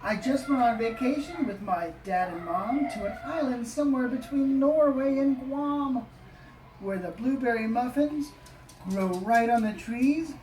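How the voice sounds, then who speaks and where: Unhurried woman reading in an echoey room. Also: a little high, a little mellow. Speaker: storybook reader. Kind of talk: expressive rhyming story reading for children. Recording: echoey, distant, faint background noise.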